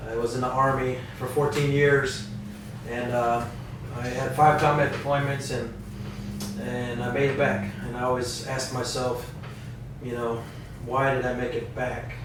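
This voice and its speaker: A plainspoken man with a Wisconsin accent, talking about himself in a reflective, self-deprecating way. His voice sits at a middle pitch. He sounds set back from the mic in a very echoey room, with clear noise around him. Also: level tone, a little slow, recorded from a distance. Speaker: guest, Army veteran. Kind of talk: casual personal introduction.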